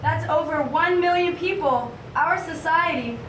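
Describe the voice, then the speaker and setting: A woman's voice: extremely high pitch, measured pace, fairly bright tone. A woman delivering a scripted monologue in a live stage performance. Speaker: puppet show performer. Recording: roomy, distant live recording with noise.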